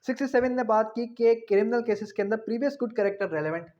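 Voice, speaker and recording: Guy lecturing on law, kinda high voice, repeats key bits slowly, dull lo-fi audio. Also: a little mellow. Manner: didactic, repeats key phrases slowly, asks rhetorical questions. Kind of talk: explanatory law lecture, mixing in English terms. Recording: dull, muffled lo-fi recording.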